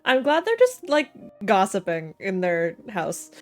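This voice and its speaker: A woman's voice: medium pitch, big pitch swings, a little slow, faint music behind. Woman livestreamer, joking and slangy. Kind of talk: casual game playthrough commentary.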